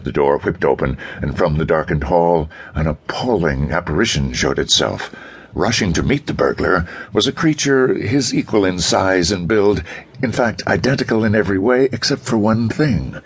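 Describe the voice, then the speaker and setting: Very deep, husky male audiobook narrator. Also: animated, normal speed. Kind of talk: dramatic scripted pulp fiction narration.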